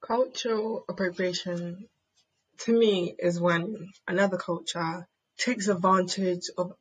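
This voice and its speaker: Husky young woman, rhythmic spoken word. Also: lowish voice, low-quality recording. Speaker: spoken word poet and podcast co-host. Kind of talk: spoken word reading, then casual discussion.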